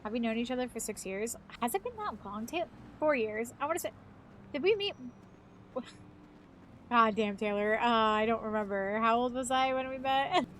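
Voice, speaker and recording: A woman in her thirties with a fairly high voice talks in a loose, rambling way. She swears casually and keeps her tone chatty, like a streamer talking to friends. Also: lively intonation, normal speed, fairly bright tone, a little hiss or hum. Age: 30s. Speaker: live streamer. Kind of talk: casual chat.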